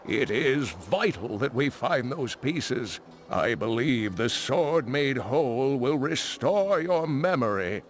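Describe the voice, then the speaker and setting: An actor playing an earnest old scholar and kindly uncle in a video game, deliberate and theatrical. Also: male voice, medium pitch, sing-song, a little hiss or hum. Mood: grave, earnest. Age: older.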